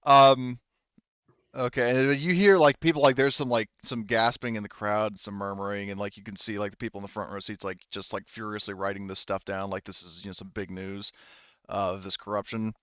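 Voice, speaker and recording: A man's voice: lowish voice, flat delivery, a little fast, a bit bright, clear, resonant tone, no room sound, highs cut off. A man working as game master, casually improvising narration for a tabletop roleplaying game.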